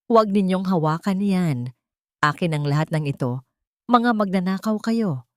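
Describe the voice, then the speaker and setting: A woman's voice: fairly low pitch, lively intonation, normal speed, no room sound, natural voice. Lady doing a haughty older filipina rich mom, frantic and indignant, audio story, dry recording. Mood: frantic outrage.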